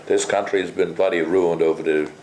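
An older man originally from the Netherlands, being interviewed at home and talking bluntly, with plenty of swearing and pauses. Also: low voice, fairly even intonation, darkish tone, echoey room, slight background noise. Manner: blunt, salty, rambling with many pauses. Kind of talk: casual interview. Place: kitchen at home, indoors.

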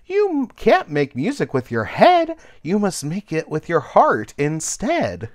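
A man voicing a drowsy, kindly old male caterpillar who speaks gently and slowly, like a wise grandfather. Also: huge pitch swings. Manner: sleepy, gentle, rumbling.

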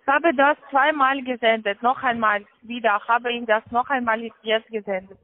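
A woman with a foreign accent in German, giving insistent, halting, repetitive step-by-step instructions as a call centre agent on a phone call. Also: fairly high pitch, steady tone, no echo. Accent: foreign, non-native German. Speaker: call centre agent selling a trading account. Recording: tinny band-limited phone line.

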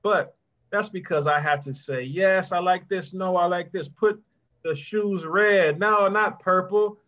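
A man's voice: sits high, measured pace, a bit bright. A middle-aged man speaking as a guest author, chatting encouragingly and directly to children on a video call. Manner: conversational, addresses children directly by name. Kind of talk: encouraging question-and-answer talk to children. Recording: dull, muffled video call audio.

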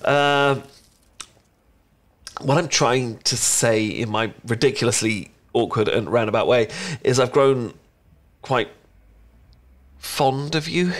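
A man acts out a sweet, bashful young British chocolatier in an audio romance. He speaks in a middle-pitched voice, hesitant and awkward. His words trip over each other as he stammers and rambles, lovestruck and intimate. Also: slow, pauses often, natural voice. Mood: flustered, shy. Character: adorkable, bashful young male chocolatier confessing a crush. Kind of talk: intimate ASMR romance roleplay.

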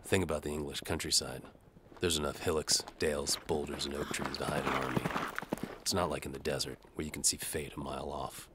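Very deep, wry male narrator. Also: a little fast, noisy background. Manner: wry, deadpan. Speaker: narrator of an audio drama. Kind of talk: first-person noir-style scripted narration.

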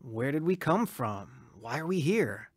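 A man's voice: medium pitch, big pitch swings, measured pace, no echo. An actor playing a searching, skeptical young man who tells of his troubled past in a radio drama, casual and wry. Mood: curious. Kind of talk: radio drama acting and narration.

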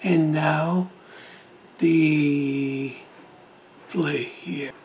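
Very slow, halting man presenting electronics. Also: a little high, fairly even intonation, a little mellow, slight background noise. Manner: halting, clipped short phrases. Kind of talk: hands-on tutorial narration.

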